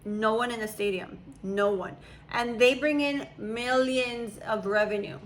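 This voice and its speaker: Chatty young mom, slight background hiss. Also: female voice, fairly high pitch, unhurried. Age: young adult. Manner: chatty, rambling, laughs often. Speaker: mother. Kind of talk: casual chat.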